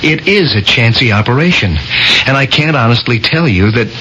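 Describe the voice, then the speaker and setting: An actor playing a determined, idealistic young surgeon in a radio drama, American, earnest and deliberate. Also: male voice, mid-range voice, expressive, bright, forceful tone. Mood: earnest, resolute. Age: young adult. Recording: dull, noisy old radio broadcast.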